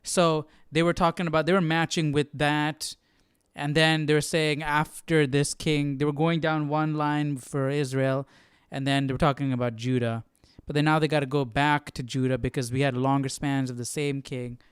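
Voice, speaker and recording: A man's voice: fairly high pitch, flat delivery, normal speed, smooth, no echo, studio quality. A man hosting a casual Bible study livestream, commenting informally and thinking aloud. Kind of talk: casual live reading commentary.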